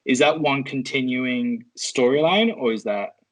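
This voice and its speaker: Male podcast panelist, moderate pitch, informal questioning and chat. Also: a little slow, rough recording.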